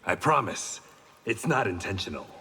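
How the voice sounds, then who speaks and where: A man's voice: lowish voice, big pitch swings, normal speed, heavy background noise. An actor playing a proud, wry blind swordsman and former gangster, speaking in dry, guarded, terse lines in a video game. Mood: wry, confident. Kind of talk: video game fight banter. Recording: reverberant game hall sound.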